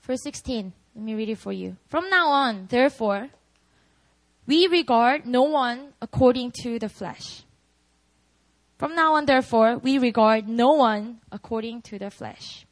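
A woman's voice: on the high side, measured pace, poor audio. A young woman with a Korean accent preaching at a prayer meeting, candid and chatty, often laughing at herself.

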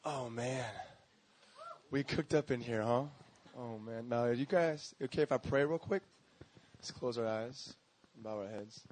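A man's voice: medium pitch, measured pace, long pauses, darkish tone, a little hiss or hum. A young man from Korea, a pastor, praying and then telling his testimony in a casual, slangy way, acting out quoted voices. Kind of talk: sermon with prayer and testimony.

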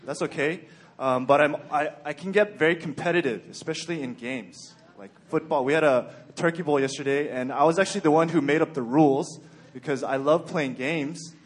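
A young man from Texas giving a sermon in a calm, even-keeled way with frequent ums and okays. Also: a little high, brisk. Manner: even-keeled, plain-spoken, frequent "um" and "okay". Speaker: church speaker.

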